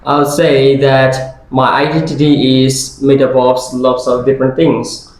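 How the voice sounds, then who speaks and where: A man in his twenties from Brazil, a Portuguese speaker being interviewed about his identity, talking slowly and thoughtfully. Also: medium pitch, flat delivery, a little mellow. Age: 20s. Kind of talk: scripted interview role-play. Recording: very echoey room.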